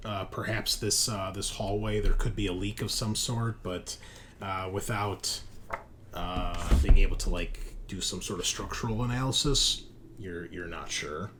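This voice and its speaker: A man's voice: lowish voice, darkish tone, slight background noise. A man casually narrating and explaining a tabletop game as game master, in an informal way.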